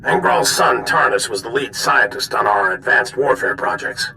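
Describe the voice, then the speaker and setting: Game general: weary commander, gravelly and forceful, grave authority, faint noise behind. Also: male voice, mid-range voice, animated, normal speed, heavily acted, poor audio. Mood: urgent, authoritative.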